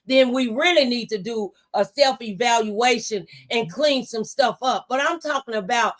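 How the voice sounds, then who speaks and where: A middle-aged woman with a fairly high voice speaks like a conversational preacher. She is emphatic and exhorting, driving her encouragement home with repeated phrases. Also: moderate pace, fairly bright tone. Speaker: talk show panelist giving testimony. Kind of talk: motivational faith testimony, preaching conversationally.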